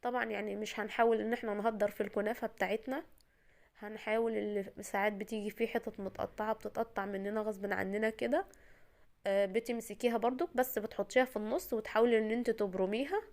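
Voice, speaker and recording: Fast-talking Egyptian woman with a cold. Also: medium pitch, steady tone, darkish tone. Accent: Egyptian Arabic. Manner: chatty, reassuring, addresses the viewer directly. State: tired voice from a cold. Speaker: home cooking video host. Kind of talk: casual step-by-step cooking tutorial.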